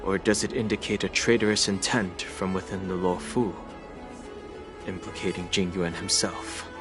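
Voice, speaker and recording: An actor playing a grave, weary, analytical man in an anime game, measured, serious and suspicious. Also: low-pitched, little pitch movement, music in the background, close to an everyday voice. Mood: grave, pensive.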